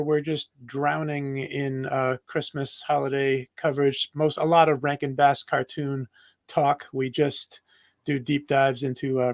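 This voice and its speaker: A man with a voice a little higher than usual, captured dry on a video call. He talks casually, like a podcaster introducing himself on a panel. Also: no echo.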